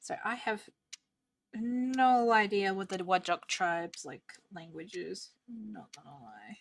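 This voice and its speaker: A woman's voice: mid-range voice, expressive, slow, vocal fry, no room sound. A young woman chatting casually and rambling while she studies on a stream. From Australia. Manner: rambling, chatty, many "like" fillers.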